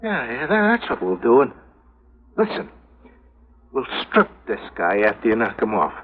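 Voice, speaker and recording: Through a very thin old radio band comes a small-time crook. He is anxious and scheming, urgent and stammering, his pitch jumping about. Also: sing-song, moderate pace. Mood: eager, scheming. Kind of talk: 1950s radio drama acting. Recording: narrow-band 1950s radio recording.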